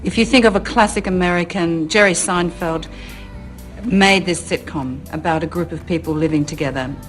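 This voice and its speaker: A woman interviewer putting a question in a tentative, halting way. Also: on the low side, soft background music.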